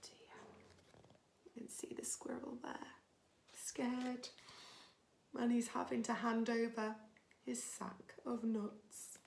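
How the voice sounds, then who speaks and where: A woman's voice: a little high, little pitch movement, slow, creaky, long pauses, a little mellow, in a whisper. A woman teacher reading a storybook expressively to young children, adding simple little asides. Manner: simple, child-directed phrasing.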